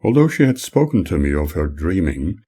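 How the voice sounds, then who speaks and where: A man reading his own memoir aloud as scripted narration, in a formal, measured manner. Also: low-pitched, sing-song, warm tone, slightly rough.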